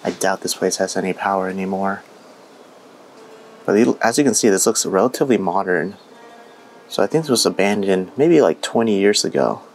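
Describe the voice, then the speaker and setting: A young man vlogging casually as he walks through an abandoned mansion, chatty and excitable. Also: deep voice, moderate pace, soft background music. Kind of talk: casual walkthrough vlog. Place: indoors in an abandoned house.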